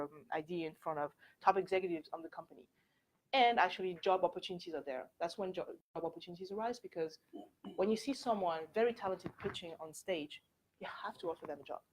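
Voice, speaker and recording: A young woman with a French accent speaks to a room of students in a loose, candid way, leaning on "like" and "actually" as she goes. Her voice sits a little low, and she sometimes drifts away from the mic. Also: poor audio. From France. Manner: chatty, candid, filler-heavy with frequent "like" and "actually". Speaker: workshop speaker. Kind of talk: informal presentation to students. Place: university lecture room.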